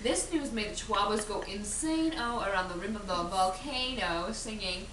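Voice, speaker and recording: A woman reading a children's storybook aloud with animated, theatrical narration. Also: mid-range voice, normal speed. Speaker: storybook reader. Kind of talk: dramatic read-aloud narration. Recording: echoey room from a distance, faint hiss.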